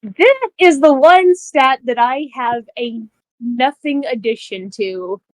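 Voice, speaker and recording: A woman's voice: high voice, takes it slowly, no room sound, poor audio. A woman chatting casually as a player in a tabletop roleplaying game.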